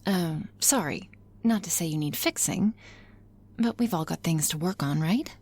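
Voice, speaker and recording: A woman plays a confident female office boss in an intimate ASMR roleplay. Her voice sits fairly low with plenty of fry. She speaks frankly and swears freely, musing with wry pauses as if to one listener. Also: animated, darkish tone, exaggerated voice. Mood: self-conscious, candid.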